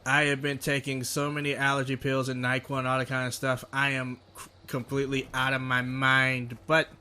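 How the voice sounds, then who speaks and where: A man's voice: medium pitch, monotone, moderate pace, pushed and bright, slight background noise. A man from Texas giving casual let's play commentary, sounding nasal and a bit sick with a lingering cough, chatty and rambling. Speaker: gaming video host.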